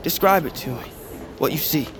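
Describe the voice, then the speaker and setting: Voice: mid-range voice, lively intonation, moderate pace, noisy background, lightly acted. An actor playing a cheerful young blind male storyteller who teases his sister. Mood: gentle. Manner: teasing, easygoing.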